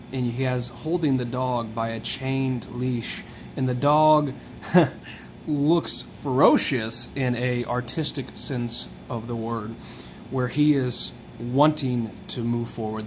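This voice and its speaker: Hoarse man explaining casually. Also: medium pitch, takes it slowly, a little hiss or hum. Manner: relaxed, musing, walks through details. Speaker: tarot video host.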